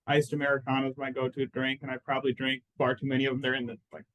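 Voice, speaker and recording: A man in his thirties from Phoenix, a lawyer guest talking in a relaxed, chatty way, full of "like" and "I mean". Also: mid-range voice, racing, dull audio. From Phoenix, Arizona. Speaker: personal injury lawyer, guest. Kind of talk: casual interview answers.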